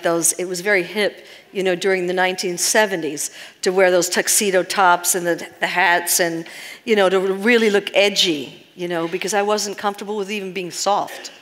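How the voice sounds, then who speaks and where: A woman's voice: mid-range voice, fairly bright tone. A woman in her sixties leading a live workshop, earnest and asking the audience questions. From United States. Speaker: seminar leader. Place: large seminar hall. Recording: long reverb of a seminar hall.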